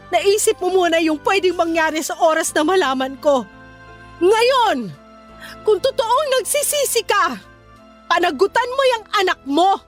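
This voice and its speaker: High-pitched heartbroken, furious Filipino woman. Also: fairly bright tone. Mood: firm, wounded. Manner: scolding, anguished, emotionally intense. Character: betrayed, furious, heartbroken young woman leaving her cheating boyfriend. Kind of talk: Filipino radio drama acting.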